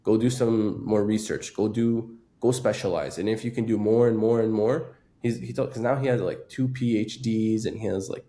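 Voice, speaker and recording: A man's voice: lowish voice, moderate pace, few pauses, warm tone. A man in his early twenties, a dental student guest, talking earnestly and a bit hesitantly. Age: 20s. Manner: earnest, hesitant, many "like" fillers. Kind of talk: casual podcast conversation.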